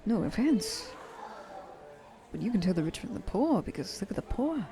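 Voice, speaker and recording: A woman's voice: medium pitch, very animated, normal speed. A woman playing a wealthy, thousand-year-old vampire in an ASMR roleplay, speaking to the listener in a genteel, courteous yet condescending manner. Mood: generous, snobbish.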